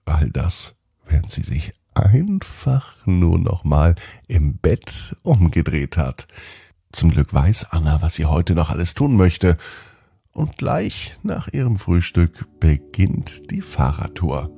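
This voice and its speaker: A man telling a scripted bedtime story to children as podcast host, in an expressive storytelling manner. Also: low-pitched, takes it slowly, vocal fry, slightly rough, no room sound, studio quality. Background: music.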